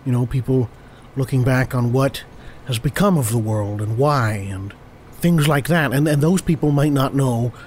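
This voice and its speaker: A hoarse man with a cold hosts a comedy podcast, stumbling over his words and doubling back on himself. His pitch sits in the middle range. A faint hiss runs under the recording. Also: normal speed, darkish tone. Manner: rambling, stammering, self-correcting. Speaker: podcast host.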